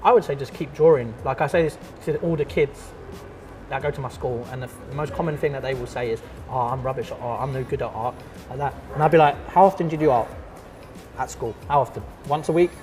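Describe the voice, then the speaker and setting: A man's voice: mid-range voice, quick pace, faint music behind. Chatty man, easygoing. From London. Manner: relaxed and chatty, full of fillers and restarts. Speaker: artist and art teacher. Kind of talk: casual interview chat.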